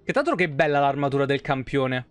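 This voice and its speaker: A man's voice: mid-range voice, big pitch swings, fast, smooth. A man in his thirties doing casual live game commentary and chatting with viewers, informal and swearing freely. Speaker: live streamer.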